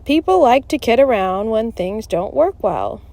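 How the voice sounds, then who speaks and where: A woman's voice: on the high side, lively intonation, a little slow, gravelly, dark tone, voice pushed up. A woman voicing a genial scientist father in a storybook, explaining things without hurry. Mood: amused.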